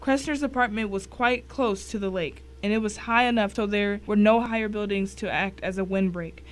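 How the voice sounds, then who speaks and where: A woman's voice: mid-range voice, moderate pace, lightly acted. A woman playing a grim, wry thriller narrator who recounts a dangerous ordeal in scripted first person.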